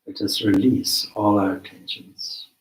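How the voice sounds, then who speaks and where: A man leading a guided meditation as a teacher, with measured, deliberate phrasing and long pauses. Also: fairly low pitch, level tone. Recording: roomy, echoing space.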